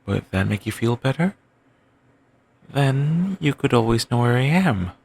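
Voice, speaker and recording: A young man voicing an obsessive, doting boyfriend in an ASMR audio roleplay, murmuring soft, soothing, controlling reassurances. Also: very animated, a little slow, vocal fry, a little hiss or hum. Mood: tender. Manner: coaxing, endlessly affectionate, quietly controlling. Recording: home mic, low-quality.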